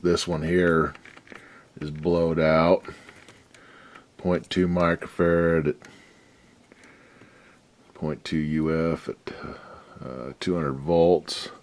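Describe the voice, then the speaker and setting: A man's voice: very deep voice, flat delivery, extremely slow, pauses often. A man restoring an old radio at his workbench, giving rambling, folksy commentary with a lot of swearing. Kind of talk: casual workshop commentary.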